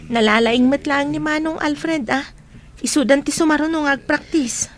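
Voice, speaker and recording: A woman's voice: high voice, normal speed, slight background noise, lightly acted. A woman acting in a radio drama as a nosy but caring young friend, with a Filipino Ilocano accent. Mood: chiding.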